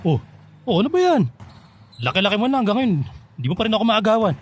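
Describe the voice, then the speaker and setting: An animated, competitive Filipino-drama dad. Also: male voice, high-pitched, fast talker, slight background noise. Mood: pushy, teasing. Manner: exhorting, teasing. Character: competitive, teasing, devoted middle-aged father coaching his son. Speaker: radio drama actor. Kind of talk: radio drama acting. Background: background music.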